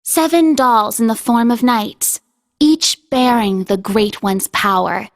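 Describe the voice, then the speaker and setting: A woman acting in a video game as a solemn storyteller who narrates an ancient legend in measured phrases. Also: fairly high pitch. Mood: solemn, grave.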